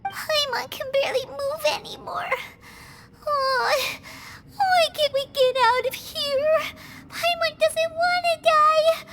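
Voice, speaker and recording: A woman voicing a tiny, childish, whiny fairy companion in a video game, with a squeaky, piercing voice. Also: takes it slowly, full character voice. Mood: tearful, exhausted. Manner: refers to self in third person, whiny.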